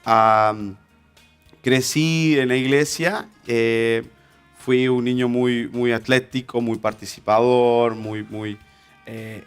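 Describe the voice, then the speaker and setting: A man's voice: medium pitch, clean tone. A man, telling his life story as an interview guest, hesitant with many fillers and restarts. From Honduras. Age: 20s. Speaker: interview guest, ministry instructor. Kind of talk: personal testimony in an interview.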